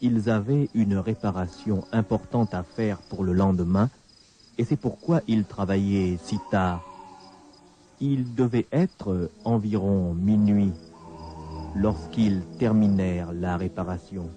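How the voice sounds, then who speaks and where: Slow, low-voiced male radio storyteller. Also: mellow. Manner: measured, deliberate, dramatic pauses. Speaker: storyteller, narrator. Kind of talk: scripted radio drama narration. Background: music, night insects.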